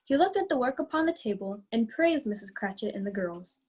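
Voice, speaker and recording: High, slightly husky female narrator. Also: lively intonation, a little fast, darkish tone. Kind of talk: scripted dramatic narration.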